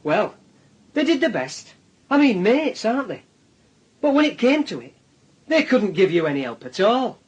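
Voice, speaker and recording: An actor playing an insinuating police detective in a radio drama, sardonic and taunting, husky. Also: male voice, high voice, big pitch swings, moderate pace, pauses often, heavily acted. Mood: needling. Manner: sardonic, taunting, leading questions. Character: insinuating police detective, needling a young suspect.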